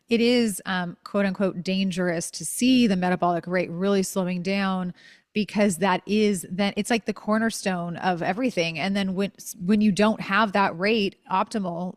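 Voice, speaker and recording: A woman's voice: medium pitch, no room sound, clean studio sound. A woman hosting a podcast interview in a conversational way, carefully qualifying and rewording what she says as she goes. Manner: careful hedging, self-correcting. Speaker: podcast host.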